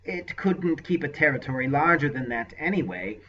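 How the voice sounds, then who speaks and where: A man voicing a scheming male sorcerer with a deeply nasal, unctuous, smug manner in an audiobook. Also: lively intonation, moderate pace, bright, forceful tone, recorded from a distance. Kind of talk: audiobook character voice. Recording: lo-fi dull recording.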